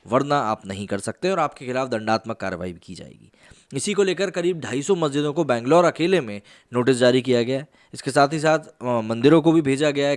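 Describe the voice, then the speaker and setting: A man explaining the news as a channel host, mixing in some English words. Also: medium pitch. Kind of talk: news explainer commentary to camera.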